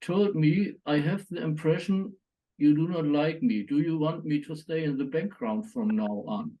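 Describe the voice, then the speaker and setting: A man telling a story in a casual group call, pausing often to search for words. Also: a little high, warm tone, low-quality recording. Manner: slow, searching for words with many uh pauses. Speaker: meetup participant.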